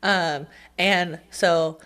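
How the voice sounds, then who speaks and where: Chatty woman, a little low-voiced. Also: steady tone, drawn-out words, a bit bright, smooth. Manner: chatty, laughs often, many "um" fillers. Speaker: podcast co-host. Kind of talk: casual political chat.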